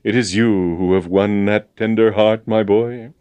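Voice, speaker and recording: A man voicing a sentimental old army colonel in a storybook, with halting, courtly, blustering speech. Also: fairly low pitch, fairly even intonation, a little mellow, clean tone, dry recording, muffled recording, natural voice. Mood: sad, noble. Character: bluff, sentimental, absent-minded old army colonel, wistfully noble. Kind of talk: dramatised storybook reading.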